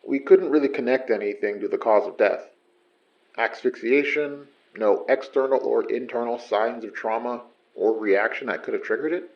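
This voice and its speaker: An actor in his thirties playing a gruff, weary detective who speaks in dry, terse lines. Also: mid-range voice, moderate pace, muffled recording, lightly acted. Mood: matter-of-fact. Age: 30s. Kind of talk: audio drama acting.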